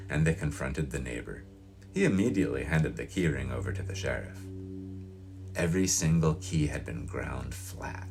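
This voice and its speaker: A man's voice: low-pitched, darkish tone, a little hiss or hum. A man reading a novel aloud in the style of audiobook narration.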